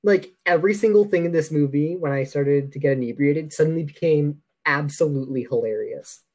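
A man's voice: on the high side, brisk, a little mellow. A man co-hosting a casual film discussion, throwing in joking, mock-indignant asides. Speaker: podcast co-host.

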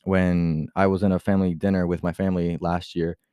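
A man's voice: low voice, level tone, fairly quick, dark tone, clean tone, no room sound, studio quality. A young man from Puerto Rico talking casually on his podcast in a rambling way, full of "like" and restarts, switching at times into Spanish. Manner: rambling, heavy use of filler "like", many restarts; switches into Spanish mid-talk. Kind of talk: casual personal monologue.

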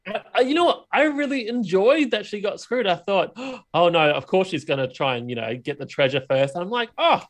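A man's voice: high voice, lively intonation, normal speed, hardly any pauses. A man co-hosting a casual movie review chat, conversational and often checking in with rhetorical questions. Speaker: podcast co-host.

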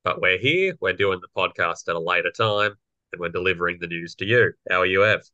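A young man with a slightly croaky voice, co-hosting a casual political podcast and explaining legal points. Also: low voice, expressive, fairly quick, sharp tone, no echo, lo-fi sound. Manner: explanatory, lawyerly. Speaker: podcast co-host.

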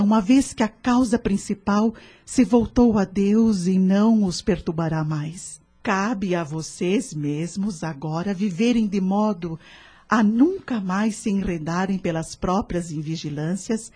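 A husky, serene spiritual guide. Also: female voice, medium pitch. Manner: didactic, formal, gently consoling. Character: serene, wise woman leading a spiritist prayer group. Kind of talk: radio drama acting.

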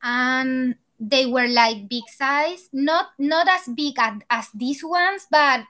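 A woman from Chile with a Chilean accent, a native Spanish speaker talking casually in halting English. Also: high-pitched, sharp tone. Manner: halting, searching for words in second-language English. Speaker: guest, embroidery artist. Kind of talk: casual conversation.

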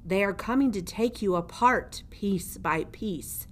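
A woman's voice: medium pitch, measured pace, natural voice. A woman voicing a grim, theatrical old male dungeon jailer in a storybook, ominous and emphatic. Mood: ominous warning. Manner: ominous, emphatic, refers to himself in third person. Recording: noticeable background noise.

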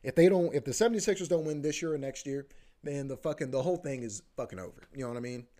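Man, podcast host, loose rambling chat, repeats phrases, slangy and profane, middle pitch. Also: fast, mellow. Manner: rambling, repeats himself, says "bro" a lot, swears.

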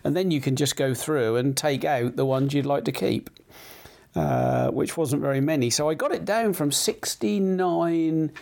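A middle-aged man with a croaky throat, hosting a casual chat show, rambling with many fillers and restarts. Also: a little high, a little slow, a little mellow.

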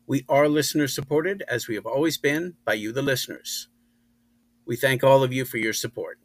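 A man's voice: mid-range voice, normal speed, no echo. A man hosting a podcast, reading scripted narration into a close microphone. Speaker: podcast host.

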